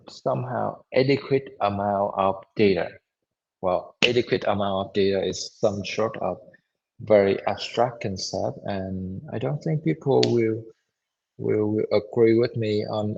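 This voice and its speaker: A hoarse man giving a university lecture online, halting, with many repeats and fillers. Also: on the low side, a little mellow. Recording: dull lo-fi audio.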